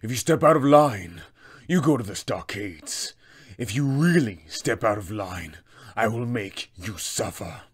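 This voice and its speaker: A man voicing a huge, bullying fantasy town enforcer for a tabletop game, threatening, deliberate and commanding. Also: fairly low pitch, expressive, breathy edge. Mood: cold threat. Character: towering, menacing, bullying town enforcer with demon arm.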